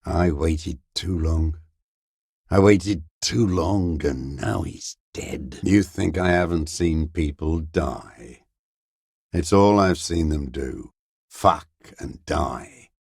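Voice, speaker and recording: An actor playing a bitter, weary old communist deserter in a video game, gravelly and raspy, cursing with contempt in a slow mutter. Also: male voice, rumbling low, darkish tone, no room sound, studio quality, exaggerated voice. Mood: brooding, bitter. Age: older. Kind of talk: dramatic video game character acting.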